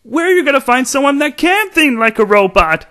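A man voicing a dim-witted, excitable cartoon robot sidekick, with a goofy, eager, exclamatory delivery. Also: extremely high pitch, fairly quick, exaggerated voice. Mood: chatty, clueless. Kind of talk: cartoon character voice demo.

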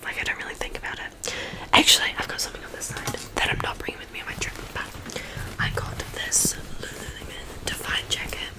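A woman's voice: a little fast, rough recording. A young woman with a New Zealand accent, whispering a rambling ASMR chat with lots of ums, close to a studio mic in her small bedroom. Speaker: ASMR creator. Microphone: studio mic on stand, close up.

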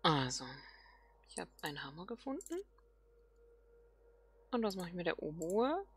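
A woman's voice: fairly low pitch, big pitch swings, normal speed, husky. A woman hosting a let's play, thinking aloud casually and murmuring questions to herself as she plays.

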